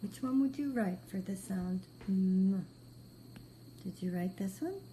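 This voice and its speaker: A woman with a very mellow, dark tone leads a beginner phonics lesson at a slow pace. She gives short, patient instructions and repeats her praise often. There is some faint noise in the recording. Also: medium pitch. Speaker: reading teacher.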